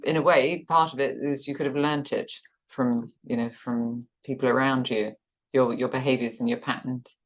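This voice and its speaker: A woman's voice: rumbling low, fairly even intonation, normal speed. A woman hosting an interview, sympathetic and fond of tag questions. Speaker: podcast host. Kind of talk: casual interview chat.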